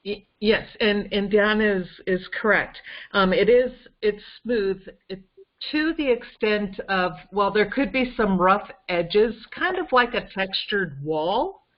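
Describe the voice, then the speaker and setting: A woman with a mid-pitched voice speaks conversationally, explaining details with insistence and emphasis. She is on a conference call, and the sound is slightly dull and lo-fi. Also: expressive, takes it slowly. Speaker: guest on a case-discussion call.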